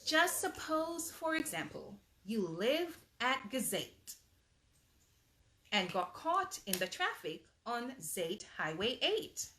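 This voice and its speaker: Female storyteller for children's rhyming books: high voice, unhurried pace, emphatic delivery, slight background noise. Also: sing-song, sharp tone. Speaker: host reading children's books aloud. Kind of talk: read-aloud bedtime story with asides to children.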